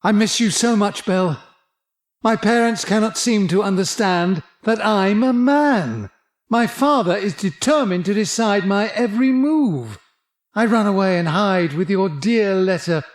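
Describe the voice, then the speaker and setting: Audio drama: lovesick twenty-year-old man, earnest and yearning, unhurried, high-pitched, poetic declaiming. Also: expressive. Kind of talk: audio drama, love letter read aloud.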